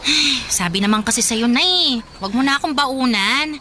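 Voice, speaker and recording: A woman's voice: high-pitched, lively intonation, moderate pace, sharp tone, a little hiss or hum. A woman voicing a whiny, teasing fifteen-year-old girl in a radio drama. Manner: complaining, playful, respectful po/opo.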